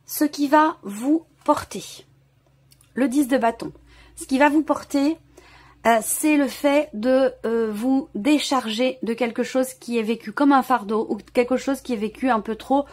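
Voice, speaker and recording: A woman's voice: sits high, measured pace, darkish tone. A woman giving an intuitive tarot reading straight to the viewer, feeling for her words and often rephrasing as she goes. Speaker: tarot reader, channel host.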